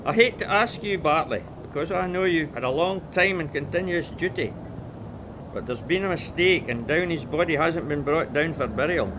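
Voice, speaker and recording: An actor playing an apologetic but insistent army quartermaster sergeant in an audio drama. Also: male voice, fairly high pitch, animated, normal speed, natural voice. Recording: clear background war-scene noise.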